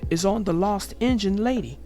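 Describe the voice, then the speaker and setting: A woman's voice: mid-range voice, moderate pace, dark tone, close to an everyday voice. A woman voicing an encouraging male magical conductor in a children's storybook, speaking earnestly.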